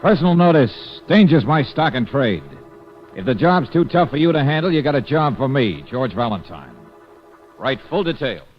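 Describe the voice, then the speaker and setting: Man acting a wry radio detective, animated delivery. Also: mid-range voice, normal speed, lightly acted. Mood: bold, confident. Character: tough, wry male private detective for hire. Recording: dull vintage radio recording, music behind.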